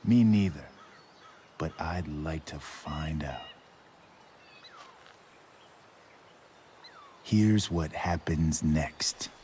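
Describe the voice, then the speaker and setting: A man's voice: a bass voice, dark tone, a little hiss or hum. An actor playing a volatile, sarcastic, cruel male drug dealer in a video game, taunting as he talks. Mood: cold menace. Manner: taunting, drawn-out, sarcastic delivery.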